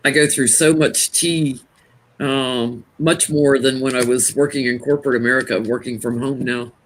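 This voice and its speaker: A man with a naturally loud voice, a tarot reader chatting casually on a live stream from home, talking into a laptop from a little way back. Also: medium pitch, fairly even intonation, rough recording. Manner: chatty and rambling, quick to laugh. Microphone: laptop, sitting back from it.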